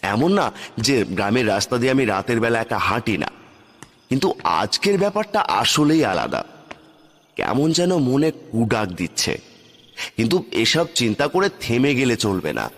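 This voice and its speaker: A man tells a ghost story in dramatic first-person narration. His mid-pitched voice rises and falls expressively, and it carries a long reverb. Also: sing-song, normal speed, a bit bright.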